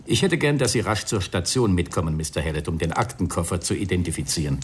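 An actor playing a composed, dogged police inspector in a radio crime drama, speaking politely in measured phrases. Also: male voice, low-pitched, lightly acted. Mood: polite, probing. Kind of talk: 1990 German radio crime drama acting. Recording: radio play with clear background noise.

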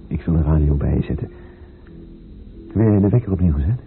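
An actor playing a busy, matter-of-fact but fond working husband in a naturalistic radio play, speaking casually and familiarly. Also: male voice, a bass voice, expressive, brisk, vocal fry, soft background music, heavily acted. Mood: hurried, fond. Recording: muffled old radio play recording.

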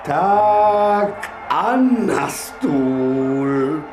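An actor in a radio drama playing a commanding, imperious professor of surgery who gives short, brisk orders. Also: male voice, fairly high pitch, expressive, close to an everyday voice. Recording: echoey, distant, under loud music.

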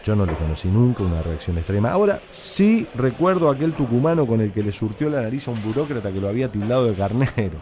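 An actor playing a pensive, guarded Argentine factory militant, telling his story in a measured, blunt way in a radio drama. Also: lowish voice, animated, mellow, slight background noise, close to an everyday voice. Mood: reflective. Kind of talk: dramatic first-person radio narration.

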